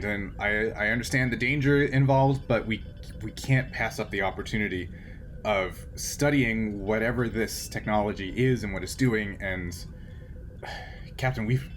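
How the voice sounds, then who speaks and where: A man's voice: lowish voice, a bit bright, slight background noise, lightly acted. A man voicing an earnest male scientist who pleads urgently, stumbling over repeated words.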